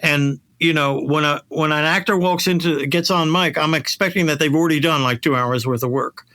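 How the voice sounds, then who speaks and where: A man's voice: a little high, brisk, sharp tone, no echo. A middle-aged or older man hosting a casual podcast, talking in a rambling, self-deprecating, conversational way. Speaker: podcast host and voice actor.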